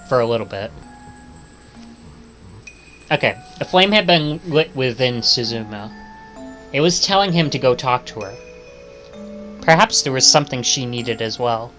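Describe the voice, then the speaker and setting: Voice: medium pitch, expressive, a little slow, pauses often, fairly bright tone, soft background music. A streamer reading visual novel text aloud and adding casual commentary.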